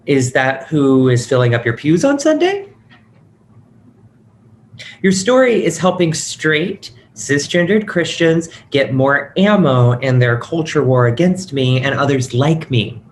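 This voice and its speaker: A man's voice: fairly high pitch, animated, unhurried. A man acting a hurt, outspoken transgender person in a confrontation, in a dramatic table read. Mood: hurt, confrontational. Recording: clear background noise.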